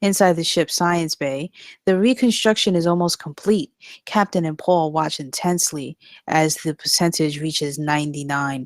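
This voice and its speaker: A woman's voice: deep voice, no echo, studio quality. A woman narrating scripted stage directions at a live comedy table read.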